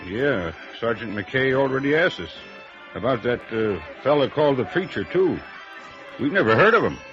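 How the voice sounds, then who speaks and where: A man's voice: mid-range voice, lively intonation, moderate pace, close to an everyday voice. An American actor playing a folksy, easygoing arcade handyman in an old radio drama, homespun and a little hesitant. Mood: hesitant, friendly. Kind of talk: 1950s radio drama acting. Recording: dull vintage radio recording with music.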